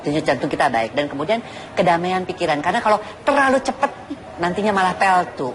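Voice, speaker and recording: A woman's voice: lowish voice, lively intonation, fast. A woman doctor giving informal health advice on a talk show, explaining step by step with everyday comparisons and repeating her points. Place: television studio with audience. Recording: noisy TV studio with loud audience. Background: audience noise and laughter.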